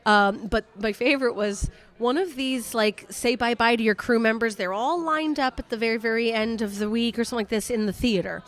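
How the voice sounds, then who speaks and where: A woman with a fairly high voice chats casually as a guest comedian. She is talkative and exuberant, telling her stories in an animated way. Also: a little fast, few pauses. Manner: exuberant, self-confessed "a lot", talkative. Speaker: guest comedian and cruise ship entertainer. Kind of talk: casual chat, animated storytelling.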